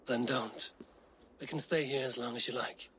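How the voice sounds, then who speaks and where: A male actor playing a bold, steady Norse warrior in a video game, speaking in a measured, plainspoken way. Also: medium pitch, a little hiss or hum, natural voice. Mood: reassuring. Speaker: game character. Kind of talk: dramatic game cutscene acting.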